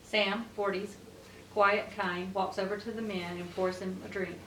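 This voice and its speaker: A woman's voice: mid-range voice, little pitch movement, a little slow, pushed and bright. A woman reading out stage directions as the narrator of a scripted table read. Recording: echoey room, distant mic, faint noise.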